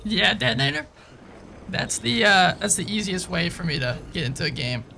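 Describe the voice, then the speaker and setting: Man, game streamer, casual chat with viewers, swears, high voice, very animated pitch. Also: moderate pace, creaky, a little hiss or hum. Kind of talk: live gameplay commentary. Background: game music.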